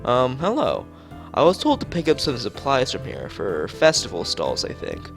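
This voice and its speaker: A man's voice: mid-range voice, wildly swooping pitch, moderate pace, gravelly, soft background music, pitched above the performer's own voice. A man voicing an awkward, polite teenage schoolboy with a hesitant manner in visual novel dialogue. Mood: unsure, awkward.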